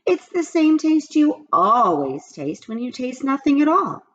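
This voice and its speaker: A woman voicing a caring, earnest schoolteacher in a children's storybook, with expressive delivery. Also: high-pitched, measured pace, highs cut off, voice pushed up, heavily acted. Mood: apologetic. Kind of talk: children's storybook read-aloud character.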